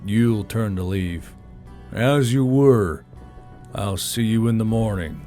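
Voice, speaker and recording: A man voicing a male military commander addressing his troops in a steady, commanding way. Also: fairly low pitch, slow pace, faint music behind.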